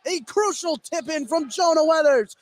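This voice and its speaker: High-pitched American man calling live basketball. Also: normal speed, a little hiss or hum. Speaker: play-by-play basketball announcer. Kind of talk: live sports commentary.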